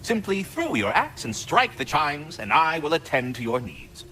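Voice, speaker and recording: Fussy magical squirrel servant, bright forceful tone, hugely animated swoops, pompous flowery diction, video game. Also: male voice, on the high side, moderate pace, slight background noise. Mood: showy, obliging. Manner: pompous, flowery, theatrical enunciation. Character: chatty, fussy, self-important magical squirrel creature, eager tutor and servant. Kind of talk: video game character acting.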